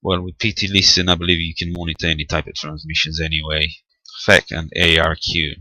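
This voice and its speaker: Man, very low voice with a sharp bright edge, halting matter-of-fact delivery, radio hobby demonstrator. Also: little pitch movement, dry recording, poor audio. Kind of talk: unscripted hands-on technical tutorial.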